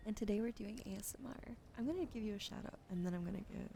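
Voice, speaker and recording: A woman livestreaming ASMR in a soft, breathy whisper and hushed casual chat, with a slightly weak, wheezy throat, speaking gently to her viewers up close to the mic. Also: medium pitch, very fast, a little hiss or hum. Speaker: streamer hosting a live ASMR broadcast.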